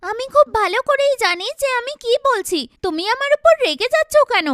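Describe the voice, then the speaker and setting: A woman voicing a vain, sharp-tongued young woman in a cartoon, with a blunt, complaining, mocking manner. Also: extremely high pitch, expressive, brisk, no room sound, clean studio sound. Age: young adult. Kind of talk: cartoon dialogue.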